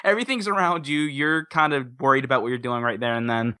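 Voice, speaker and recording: Young male podcast talker: crisp bright tone, casual, enthusiastic, wordy, recorded close and dry. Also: medium pitch, fast, no room sound. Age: young adult.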